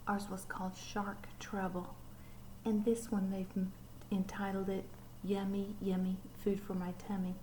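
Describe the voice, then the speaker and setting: A woman teacher reading a picture book aloud to children, gently asking them questions and dropping to whispers. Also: mid-range voice, monotone, measured pace, a little hiss or hum, poor audio. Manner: gentle, asks young listeners questions, drops to whispers. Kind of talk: storybook read-aloud to children.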